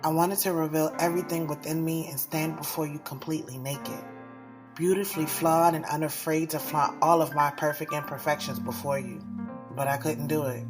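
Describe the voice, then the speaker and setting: Woman acting a low-voiced, guarded, confiding young professional. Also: fairly even intonation, normal speed, over music, natural voice. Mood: wistful, confessional. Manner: confiding, slangy. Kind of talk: first-person audio drama narration with dialogue.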